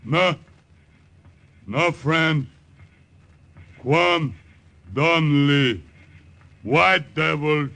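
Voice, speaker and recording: Very slow, terse jungle chief. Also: male voice, a little high, gravelly, sharp tone, heavily acted. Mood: fierce, commanding. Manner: broken pidgin English, terse, slow. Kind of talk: 1950s radio drama acting. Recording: old radio recording with music behind.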